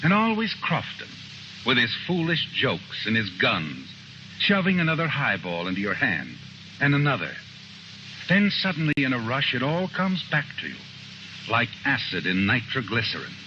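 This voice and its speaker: An actor narrating a 1950s radio drama, dramatic and intense. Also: male voice, mid-range voice, huge pitch swings, normal speed, noisy background. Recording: dull vintage radio recording with music.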